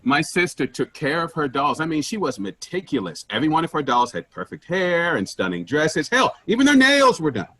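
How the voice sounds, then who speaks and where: An aggrieved, sarcastic male office worker, acted by a man in a play reading. His husky voice moves up and down with lots of animation. The recording is close and dry. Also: fairly high pitch, sing-song, brisk, hardly any pauses, fairly bright tone, no room sound.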